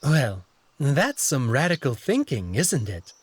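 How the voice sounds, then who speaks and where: Man voicing a husky, dainty furry courtier. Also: mid-range voice, big pitch swings, slow, heavily acted. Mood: coy surprise. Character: dainty, refined, gossipy young male furry alien courtier. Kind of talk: visual novel character acting.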